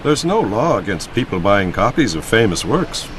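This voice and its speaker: A surly, defiant male villain in a radio drama. He is curt and fairly low-voiced, with clear background noise. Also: sing-song, moderate pace. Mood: defiant, menacing. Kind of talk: old-time radio drama acting. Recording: noisy radio drama recording.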